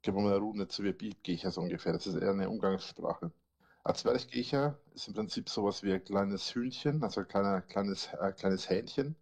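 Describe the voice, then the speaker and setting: A man's voice: lowish voice, fairly quick, vocal fry. A man with a Franconian accent, rambling in casual chat as he quizzes listeners on dialect words he answers himself. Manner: rambling, asks and answers his own quiz questions.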